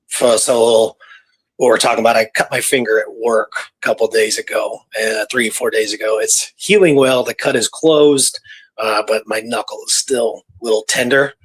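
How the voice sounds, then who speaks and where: A man's voice: fairly low pitch, fairly even intonation, moderate pace, breathy edge, poor audio. A man co-hosting a show, talking casually and somewhat hesitantly as he searches for his words. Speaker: podcast co-host. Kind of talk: casual conversational storytelling.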